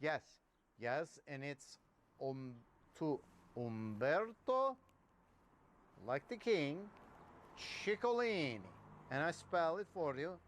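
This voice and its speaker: A man playing a pushy, money-minded Italian agent on the phone in sketch comedy, halting and repeating himself. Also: a little high, big pitch swings, a little hiss or hum. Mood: businesslike, insistent. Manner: halting, repetitive, spells things out slowly.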